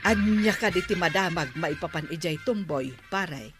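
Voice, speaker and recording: An actress playing a village captain's wife, a calm and inquiring matron in a radio drama, with an Ilocano accent. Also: female voice, lowish voice, normal speed, over loud music, natural voice. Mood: inquiring, firm.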